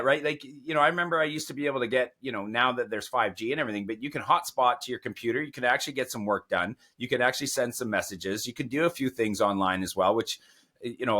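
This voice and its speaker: Bright-voiced chatty podcast host man. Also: mid-range voice. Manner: chatty, enthusiastic, tips and asides. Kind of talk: casual podcast interview.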